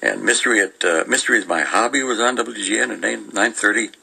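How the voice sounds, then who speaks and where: An older man chats casually as a radio co-host, going through old listings. His voice is fairly low and gravelly, with a strikingly forceful, bright edge. He meanders and halts as he reminisces. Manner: meandering, chuckling, halting delivery.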